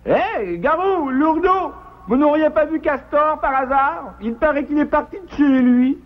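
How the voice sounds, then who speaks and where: A man voicing a fussy, grumpy but soft-hearted animal with a squeaky, hesitant delivery in a French cartoon. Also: animated, unhurried, phone-quality sound. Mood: inquiring.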